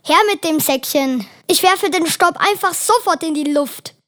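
A child acts out a bold, impatient kid in a children's radio play. The voice is very high and youthful, eager for adventure and urging the others on. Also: a little slow, fairly bright tone. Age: child, about ten. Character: impatient, daring, clumsy young sibling eager for adventure.

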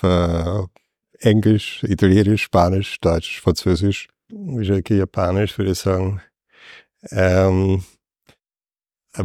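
A man answering street interview questions, pausing often with hesitant fillers as he searches for words. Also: sits low, vocal fry, a little mellow, no echo, studio quality. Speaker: passer-by interviewee.

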